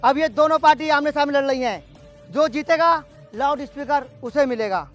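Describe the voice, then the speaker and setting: A high voice from a male actor plays a village schoolmaster in a comedy sketch. He is bossy and exasperated, scolding like an announcer to unruly people, over faint music. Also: normal speed, fairly bright tone. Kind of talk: broad comedy sketch acting. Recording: background music under dialogue.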